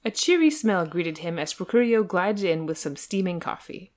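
A woman's voice: on the low side, lively intonation, normal speed. A woman co-hosting a podcast, moving between dramatic storybook narration and casual, amused chat. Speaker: podcast co-host and reader.

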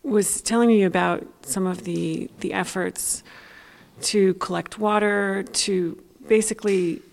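A woman's voice: mid-range voice, steady tone, measured pace, vocal fry. A woman interviewing a guest, asking long, winding questions with hesitations. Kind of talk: conversational interview.

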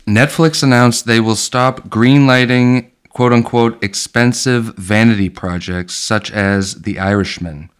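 A man with a low, smooth and resonant voice hosting a laid-back podcast about movies. He banters loosely and drops swear words without a second thought. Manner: loose, joking, swears freely. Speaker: podcast host. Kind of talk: casual chat about movies.

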